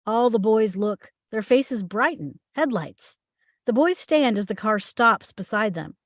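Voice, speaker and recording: A woman's voice: fairly high pitch, expressive, measured pace, no echo, studio quality. A woman reading screenplay stage directions aloud as the narrator of a table read.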